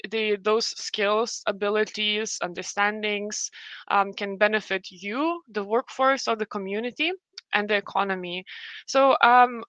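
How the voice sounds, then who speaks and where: A woman's voice: medium pitch, a bit bright, dry recording. A woman in her late twenties from Lithuania, speaking English with a Lithuanian accent, giving a friendly university webinar presentation with many fillers and restarts. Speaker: university representative.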